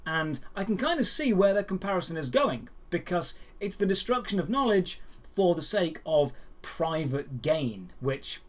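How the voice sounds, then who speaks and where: Man with a higher-pitched voice. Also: big pitch swings, normal speed. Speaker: host of a gaming news show. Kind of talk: scripted news commentary with personal opinion.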